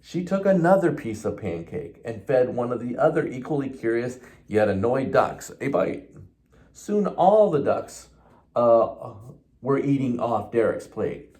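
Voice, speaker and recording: Man reading a storybook, very lively pitch. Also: a little slow. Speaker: police officer reading a children's book. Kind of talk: storybook read-aloud.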